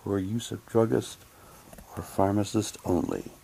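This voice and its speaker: Slow-paced man, halting and casual. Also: lowish voice, vocal fry, mellow, a little hiss or hum, low-quality recording. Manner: halting, muttering asides to himself. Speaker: reviewer unboxing an audio drama's props. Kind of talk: casual chat, reading documents aloud.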